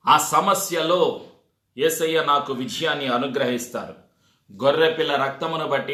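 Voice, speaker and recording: A man's voice: medium pitch, bright, forceful tone. A man preaching a sermon, exhorting his congregation with repeated phrases and rhetorical questions. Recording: echoey, reverberant sound.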